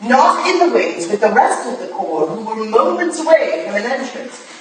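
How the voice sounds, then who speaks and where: A woman's voice: a little high, very animated, moderate pace, a loud crowd. A woman playing a commanding, analytical Victorian lady detective in a staged play reading, recorded in an echoey hall. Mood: reasoning. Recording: echoey hall from far off, faint noise.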